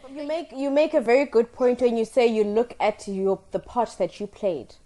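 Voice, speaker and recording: A young woman with a South African accent hosts a casual talk over a video call. Her voice is loud and set somewhat high, and she speaks in an earnest way. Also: moderate pace. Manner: earnest, code-switches into Zulu. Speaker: host.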